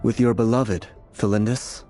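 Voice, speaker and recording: Man acting a deep, solemn, fatalistic prophet. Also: fairly even intonation, warm tone, soft background music, close to an everyday voice. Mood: knowing, probing. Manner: eloquent, measured, resigned. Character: blinded male royal prophet, fatalistic, weary and solemn. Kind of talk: dramatic video game voice acting.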